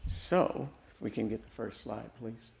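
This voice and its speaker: A soft-spoken man with a Midwestern American accent, giving a presentation at a city council meeting through a podium microphone, with many hesitant fillers. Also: fairly low pitch, unhurried, vocal fry, a little hiss or hum. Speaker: downtown nonprofit presenter.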